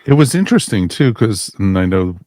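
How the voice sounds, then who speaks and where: Deep-voiced chatty man podcasting. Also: very animated, fairly quick, mellow, dry recording. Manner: enthusiastic, chatty, laughs often. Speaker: podcast host. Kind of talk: casual podcast discussion.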